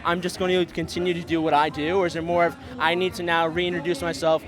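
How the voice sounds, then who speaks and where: A man's voice: fairly high pitch, level tone, quick pace. A man interviewing a wrestler on site, eager, asking long stacked questions. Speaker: podcast interviewer. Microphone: handheld camera mic.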